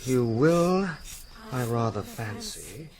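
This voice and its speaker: A man's voice: medium pitch, sing-song, slow pace, noisy background. A man playing a deferential yet familiar, amused aide to a general in a radio drama, with hesitant, droll delivery.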